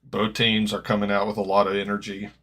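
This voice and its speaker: Guy streaming a footy game, bit gravelly, bright edgy tone, lowish voice. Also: monotone, brisk, a little hiss or hum. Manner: rambling, self-correcting, hopeful fan. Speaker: streamer reacting to a football match. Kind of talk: casual live-stream chat.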